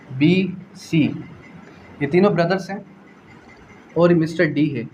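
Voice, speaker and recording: Higher-pitched Pakistani man lecturing. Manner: didactic, repeats phrases for emphasis; mixes English legal terms into speech. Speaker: law lecturer. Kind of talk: explanatory lecture with rhetorical questions. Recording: dull lo-fi audio, faint background noise.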